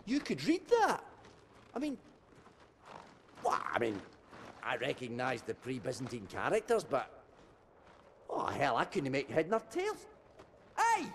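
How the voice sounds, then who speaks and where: An actor playing a wry, excitable adventurer with a sardonic, colloquial way of talking in a game cutscene. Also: male voice, medium pitch, measured pace, pauses often. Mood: astonished. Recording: clear background noise.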